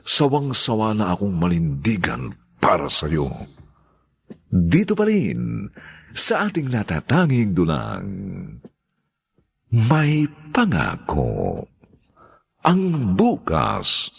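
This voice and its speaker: Deep, gravelly, slow radio drama announcer. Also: male voice, huge pitch swings. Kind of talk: formal, dramatic scripted announcing.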